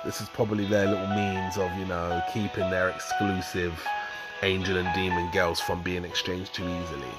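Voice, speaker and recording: A man hosting a gaming channel, talking through a mobile game tutorial in a casual, rambling way with a slightly croaky voice. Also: sits low, level tone, normal speed, loud music behind the voice. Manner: rambling, explains step by step with fillers.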